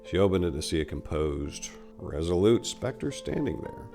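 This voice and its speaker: A man's voice: sits low, sing-song, normal speed, gravelly, dark tone, faint music behind. A man giving a brooding, theatrical narration of a scripted story.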